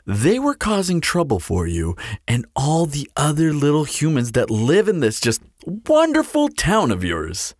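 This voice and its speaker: Here is a cocky immortal male vampire, acted out by a man in an audio roleplay. He teases and flirts with sardonic, theatrical flair. His pitch leaps and dips wildly, his pace is unhurried, and the sound is dry and close. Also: huge pitch swings, no room sound, full character voice. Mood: mock pondering, amused. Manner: playful, drawling, theatrical, sardonic. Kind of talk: scripted audio roleplay acting.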